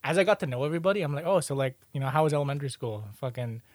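Brisk, lightly high young man, slangy. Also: animated, a little mellow, no room sound, studio quality. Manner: slangy, profane, emphatic. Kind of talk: casual banter and storytelling.

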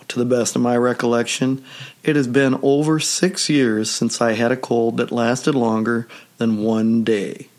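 A man with a rough, hoarse voice left over from a cold, speaking at a middle pitch. He hosts a solo podcast, talking to his listeners in a steady, measured way with deliberate pauses. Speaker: podcast host. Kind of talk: solo health podcast monologue.

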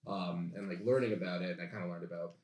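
A man with a lowish voice talks quickly and casually, as a guest on a comedy podcast. The sound is roomy and echoey. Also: steady tone, darkish tone. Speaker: podcast guest and sketch writer. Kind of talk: casual chat and stage-direction reading.

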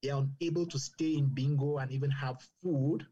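A man from Cameroon, a doctor speaking as a guest in a panel discussion, passionate and measured, often repeating phrases for emphasis. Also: mid-range voice, fairly even intonation, mellow, muffled recording.

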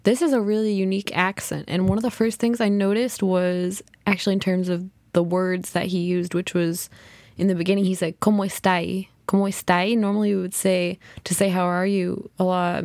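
A young woman hosting a casual solo podcast, chatty and thinking out loud, often saying "kind of" and "like". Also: fairly low pitch, little pitch movement, brisk, no room sound. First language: English. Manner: chatty, thinks aloud, many "kind of" and "like" hedges. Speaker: podcast host.

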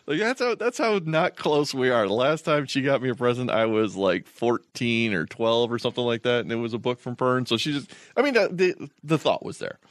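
A man's voice: mid-range voice, animated, normal speed, no echo. A man co-hosting a podcast in casual chat, rambling and self-deprecating, with many restarts. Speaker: podcast co-host.

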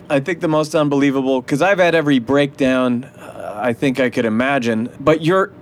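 A man's voice: mid-range voice, moderate pace, a little hiss or hum. Laughing, casual man host. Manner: laughs often, swears casually, storytelling. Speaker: interview host, musician. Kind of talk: casual interview chat.

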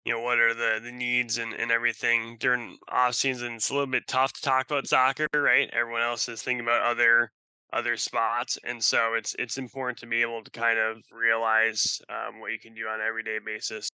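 A man's voice: medium pitch, completely flat delivery, a little fast, pushed and bright, clear, resonant tone, no room sound, rough recording. A man from Russia giving casual, rambling interview answers as a podcast guest. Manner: rambling, peppered with "you know". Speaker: podcast guest, sports franchise sales and service executive.

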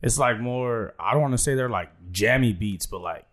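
A man's voice: medium pitch, moderate pace, a bit bright. A man co-hosting a podcast, asking questions in a loose, slangy way with plenty of filler. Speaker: podcast co-host. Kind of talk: casual podcast chat.

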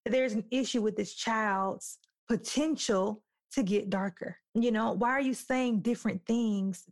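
Woman, young adult, podcast talk, emphatic storyteller, medium pitch. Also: unhurried. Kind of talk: candid podcast conversation.

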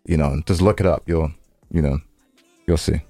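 A man's voice: rumbling low, steady tone, normal speed, mellow, no echo, faint music behind. An American man co-hosting a podcast in casual, unscripted banter, with a laid-back, conversational way of repeating himself. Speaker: podcast co-host.

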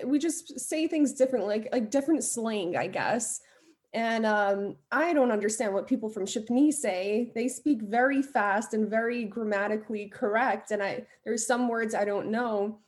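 A young American woman talks as a podcast guest. Her voice is a little high, and she tells stories in an upbeat, easygoing way. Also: darkish tone. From United States. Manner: upbeat, laughs often while telling stories. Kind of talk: casual interview chat.

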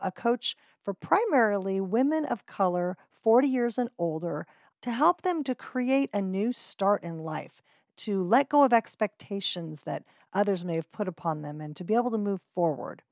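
A woman's voice: medium pitch, dry recording, studio quality. A woman hosting a podcast, introducing guest clips in an upbeat, friendly way with casual "um"s. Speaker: podcast host.

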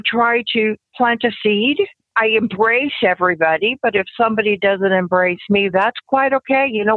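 A woman's voice: mid-range voice, dry recording. Older woman on a phone line. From Cuba. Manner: emphatic, animated storytelling. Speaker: activist guest. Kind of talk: conversational interview.

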